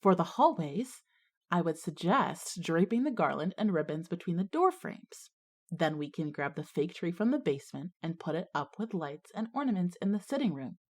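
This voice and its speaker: A woman's voice: lowish voice, very animated, moderate pace. A woman playing a hardworking, put-upon schoolgirl in a scripted audio drama, plainspoken and wry with some exasperation. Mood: practical, wry. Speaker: character and first-person narrator.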